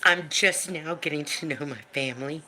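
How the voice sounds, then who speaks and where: A woman in her fifties with a deep smoker's voice, venting on a live stream in a blunt, emphatic way, swearing freely and repeating lines for emphasis. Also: moderate pace, sharp tone, rough recording. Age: 50s. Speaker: livestream host. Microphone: phone or webcam for a live stream.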